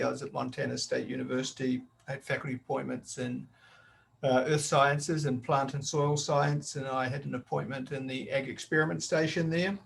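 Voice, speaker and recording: An older man with a New Zealand accent speaks over a video call, introducing his work. His voice is gravelly and level, barely rising or falling, and he paces himself with frequent pauses and fillers. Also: mid-range voice, a little hiss or hum. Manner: measured, halting with many fillers. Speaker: professor.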